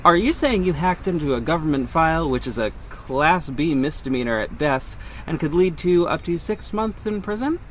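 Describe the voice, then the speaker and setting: A man's voice: fairly high pitch, expressive, moderate pace, hardly any pauses, fairly bright tone, a little hiss or hum. A man playing a wealthy orphaned young hero in an audio drama, guarded and earnest, with dry sarcasm and a defensive edge. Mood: sarcastic, defensive. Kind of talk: first-person audio drama narration and dialogue.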